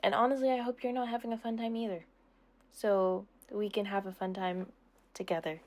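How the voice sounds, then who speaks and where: Young woman chatting casually, mellow voice. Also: mid-range voice, steady tone, a little fast. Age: young adult. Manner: giggly, rambling, informal. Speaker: content creator answering subscriber questions. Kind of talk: casual Q&A chat.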